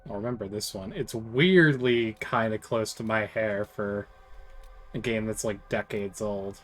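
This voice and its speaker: Booming-voiced male game streamer. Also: measured pace. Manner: chatty, thinks aloud while playing. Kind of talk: casual live gameplay commentary.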